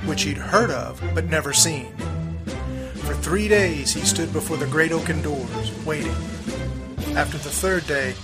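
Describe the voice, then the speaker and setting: A middle-aged man hosting a podcast, delivering an upbeat intro and reading character backstories in a theatrical way. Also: on the high side. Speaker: podcast host.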